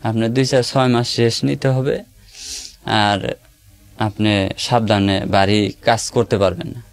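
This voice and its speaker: A man's voice: lowish voice, steady tone, measured pace, creaky. A young man, telling his own story in a plain, hesitant way. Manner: plain, hesitant, simple colloquial speech. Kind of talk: personal testimony in an interview.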